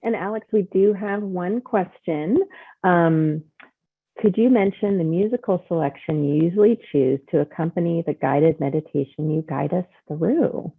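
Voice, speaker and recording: A woman with a low, gravelly voice politely relays an audience question, pausing a little as she speaks. Her voice comes through a tinny, phone-like line. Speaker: webinar organizer.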